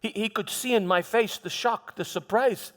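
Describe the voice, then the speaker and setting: A man playing a proud, defensive Roman governor in a live church monologue, declaiming emphatically with halting repeated words. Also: sits high, fairly bright tone. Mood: proud, earnest. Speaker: preacher performing a dramatic monologue. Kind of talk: first-person theatrical church monologue. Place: large hall, live church performance. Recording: long hall reverb.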